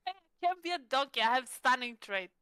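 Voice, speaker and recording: A woman's voice: high voice, lively intonation, a little slow, sharp tone, clean tone, no room sound. A woman chatting casually as a player in a tabletop roleplaying game stream. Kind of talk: casual in-character game chat.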